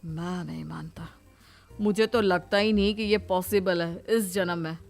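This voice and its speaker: A woman's voice: on the low side, steady tone, unhurried, vocal fry, soft background music, voice pushed down. A woman voicing a sceptical, dry grown man, the brother in a family comedy story. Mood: doubtful.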